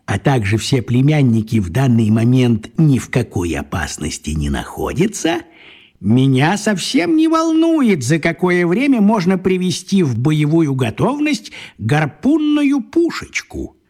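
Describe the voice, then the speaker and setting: An actor playing a small, crafty old wizard in a children's radio play, talking in a coaxing, folksy way with a husky voice. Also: male voice, a little high, wildly swooping pitch, measured pace, a little mellow, heavily acted.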